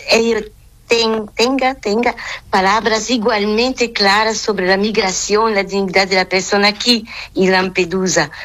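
A woman, a nun whose first language is Portuguese, speaking in a halting way, mixing Portuguese into her Spanish and searching for words. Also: medium pitch, a bit bright. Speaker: nun, interview guest. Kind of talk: phone interview.

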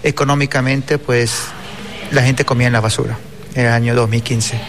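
Venezuelan man, mid-pitched, giving testimony. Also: fairly even intonation, normal speed, noisy background. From Caracas, Venezuela. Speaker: interviewee. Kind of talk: personal testimony in an interview. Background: faint music.

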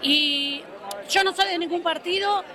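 An Argentine woman gives her opinion in a spontaneous street interview. Her voice is very high and her delivery is emphatic and repetitive. A clear crowd surrounds her in an outdoor square. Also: a little slow. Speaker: local resident interviewed.

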